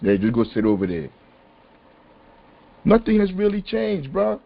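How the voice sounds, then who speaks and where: A man hosting a podcast, talking casually and with animated emphasis. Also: high-pitched, unhurried, vocal fry. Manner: animated, conversational, rising to exasperated emphasis. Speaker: podcast host. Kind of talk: casual discussion on a call-in podcast. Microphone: phone or conference call. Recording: dull lo-fi call audio.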